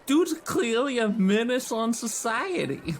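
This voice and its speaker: A man's voice: very high voice, sing-song, unhurried, slight background noise. A man playing a tabletop role-playing game, improvising in character with casual, slangy, sweary speech.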